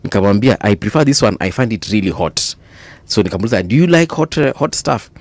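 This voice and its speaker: A Kenyan man co-hosting a morning radio show, telling stories in an easygoing, chatty way. His pitch swings up and down a lot as he gets into the story. The studio sound is close and dry. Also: fast talker, no echo. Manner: animated storytelling, mixes Swahili into English, laughs often. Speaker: radio co-host. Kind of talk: casual on-air banter. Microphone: studio microphone.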